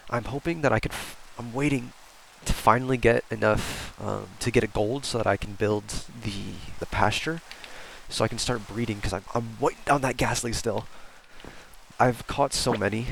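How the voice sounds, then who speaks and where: A man's voice: lowish voice, vocal fry, noisy background. A congested young man with a cold, chatting casually over his gameplay and rambling with fillers and restarts. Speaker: gaming YouTuber. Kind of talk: casual Let's Play commentary.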